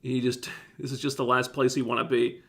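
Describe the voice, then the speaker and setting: A man with a slightly scratchy, phlegmy throat chats with viewers on a livestream. His pitch sits in the middle for a man, and he talks in an easygoing, meandering way, often starting a sentence over. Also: a little fast, gravelly. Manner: relaxed, rambling, self-interrupting. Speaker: livestream host reviewing TV shows. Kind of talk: casual livestream chat answering viewers.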